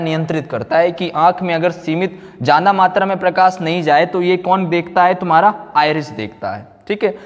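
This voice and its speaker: A man giving a classroom lecture, repeating phrases for note-taking and answering his own questions. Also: high-pitched. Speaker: physics teacher. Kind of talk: classroom lecture, explaining and dictating notes. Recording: echoey room with long reverb.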